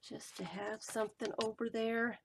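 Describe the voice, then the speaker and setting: A woman crafting and talking to herself as she works, her voice going hoarse. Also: a little high, level tone, moderate pace, background noise. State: voice giving out, hoarse. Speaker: collage journaling vlogger. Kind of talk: casual running commentary while crafting.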